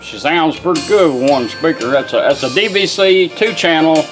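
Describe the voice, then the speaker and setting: A plain-spoken man walks through a product in a casual, folksy way. His voice sits a little high for a man, and radio sound and music play clearly behind him. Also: animated, normal speed. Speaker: radio restorer demonstrating a product. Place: indoor workbench demonstration. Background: car radio broadcasts and music.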